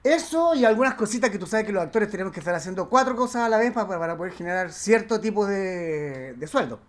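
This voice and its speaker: A man's voice: high-pitched, expressive, quick pace. A man with a Chilean accent, an actor and director chatting casually as a guest, effusive and a little campy. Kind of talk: casual interview chat.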